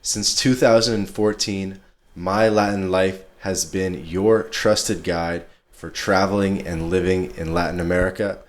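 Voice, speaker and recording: A man's voice: sits low, steady tone, measured pace. A man hosting a podcast in a casual, laid-back chat, talking in slangy everyday English. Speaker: podcast host.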